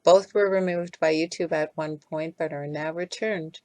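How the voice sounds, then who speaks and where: A woman's voice: deep voice, fairly even intonation, normal speed, darkish tone. A woman reading a scripted critical commentary as a video essay narrator. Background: music.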